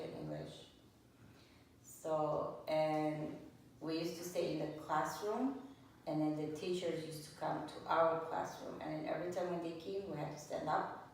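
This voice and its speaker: A woman in her sixties from Lebanon answering oral history interview questions in a plainspoken, hesitant way. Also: sits low. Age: 60s. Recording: echoey room, distant mic, faint noise.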